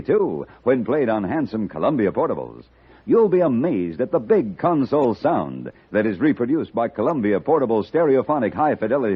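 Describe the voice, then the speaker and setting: A man's voice: medium pitch, slightly rough. A man reading a scripted 1950s radio commercial as an announcer. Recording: dull old radio broadcast.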